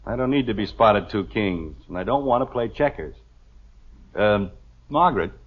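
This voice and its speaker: A man's voice: lowish voice, animated, normal speed, breathy edge. An actor playing a middle-aged American husband and father, hesitant, stammering and blustering, in an old-time radio comedy. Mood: exasperated. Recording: muffled, noisy vintage radio recording.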